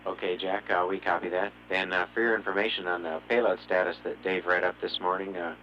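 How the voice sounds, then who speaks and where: A man's voice: sits low, flat delivery, a little fast, a little hiss or hum. A man as ground control communicator, speaking briefly and procedurally to a spacecraft crew. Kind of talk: radio exchange with spacecraft. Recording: dull radio transmission.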